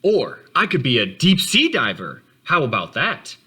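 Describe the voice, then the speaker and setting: An eager young turtle boy from a children's storybook, read by a man. He is full of big dreams and his words tumble out with lively swings of pitch. The tone is bright and forward. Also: measured pace, slightly rough, exaggerated voice. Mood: excited.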